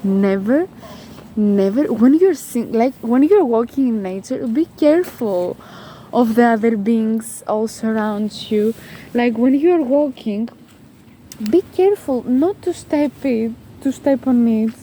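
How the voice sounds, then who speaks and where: A Greek woman with a Greek accent giving a casual motivational coaching talk while walking outdoors, halting and emphatic with drawn-out words. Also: a little high, animated, dark tone, a little hiss or hum, poor audio. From Greece. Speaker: spiritual life coach.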